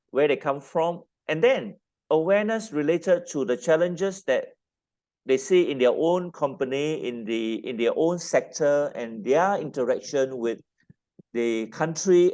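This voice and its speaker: A man's voice: medium pitch, a little mellow. Formal male panel moderator. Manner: formal, with filler pauses.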